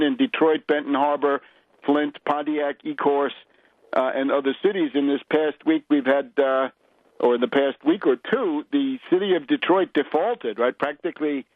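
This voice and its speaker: Male talk radio host, political interviews, somewhat high voice, hesitant phrasing, muffled lo-fi broadcast. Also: no echo. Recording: lo-fi, band-limited radio audio.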